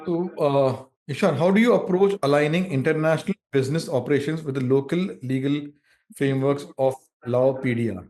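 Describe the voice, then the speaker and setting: A man hosting an interview, putting questions to his guest with frequent pauses and fillers. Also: mid-range voice, a little mellow. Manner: hesitant, many fillers between phrases. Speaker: interview host.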